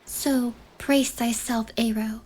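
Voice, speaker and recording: A woman hosting a scripted ASMR fantasy, narrating softly and solemnly with archaic wording and some whispering. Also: on the high side, steady tone, slow, poor audio. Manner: soft, partly whispered, solemn. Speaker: host and narrator.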